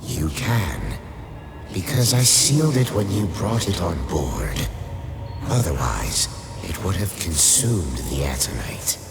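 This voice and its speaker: An imperious skeletal lich with an extremely deep, gravelly voice. He speaks in grave, formal pronouncements from a dark fantasy radio play, wrapped in long cavernous reverb. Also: very animated, slow, heavily acted. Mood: stern, instructive. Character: commanding undead lich, skeletal ancient sorcerer. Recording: cavernous echoing reverb, music underneath.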